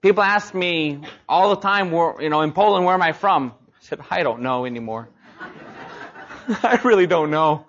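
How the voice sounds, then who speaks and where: A man's voice: fairly high pitch, animated, normal speed, a bit bright, muffled recording. A man preaching a sermon as a missionary, talking casually and rising emphatically on his key points. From Mexico.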